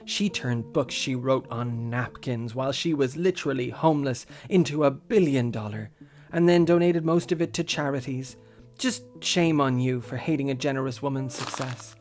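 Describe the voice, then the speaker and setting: A man's voice: medium pitch, normal speed, a little mellow, faint music behind. A man reading written statements aloud.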